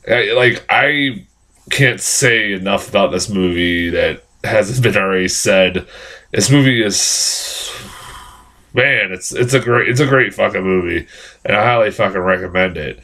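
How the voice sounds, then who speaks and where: Young male reviewer, low-ish voice, hoarse and nasal from a cold, loose and profane delivery. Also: unhurried, pushed and bright. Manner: rambling, frequent fillers and profanity. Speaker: film reviewer. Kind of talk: casual vlog review.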